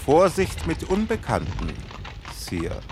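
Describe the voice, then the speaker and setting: A man's voice: fairly high pitch, wildly swooping pitch, takes it slowly, slight background noise, exaggerated voice. An actor playing a wary noble companion to a king in a German radio drama. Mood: watchful.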